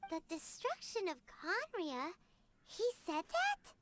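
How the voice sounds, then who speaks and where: Very high, squeaky little fairy guide. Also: female voice, huge pitch swings, takes it slowly, very noisy, exaggerated voice. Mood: surprised, pondering. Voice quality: squeaky, childlike. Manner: refers to self in third person, exclamatory. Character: tiny childlike female fairy guide, chirpy and curious. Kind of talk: anime-style video game acting.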